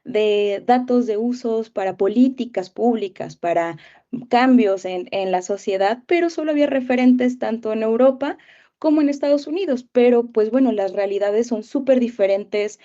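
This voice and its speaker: A young woman with a Mexican accent answers questions about her life in an easy, rambling way, filling gaps with small hesitations. Her voice sits mellow and dark. The recording sounds dull and muffled, like a video call. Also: a little high, normal speed. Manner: warm storytelling with many fillers like eh and este. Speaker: teacher. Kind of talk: casual interview answers.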